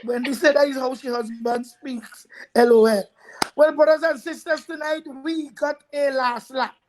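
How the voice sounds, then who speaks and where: A woman's voice: high-pitched, fairly even intonation, normal speed, darkish tone, slight background noise. A woman hosting a live talk show, chatty, addressing viewers and repeating words as she goes. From Trinidad.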